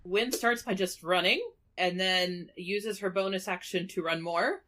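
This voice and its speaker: A woman's voice: on the low side, a little slow, fairly bright tone. A woman playing a tabletop roleplaying game, narrating her character casually with a brash, jokey, sweary manner.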